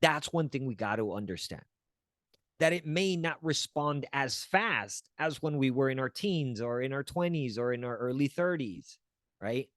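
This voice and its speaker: A man's voice: medium pitch, animated, normal speed, fairly bright tone, no room sound. Earnest male coach in a dry room. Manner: earnest, coaching, checks in with "right?"; restarts and searches for words. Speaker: wellness coach. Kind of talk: teaching talk to camera.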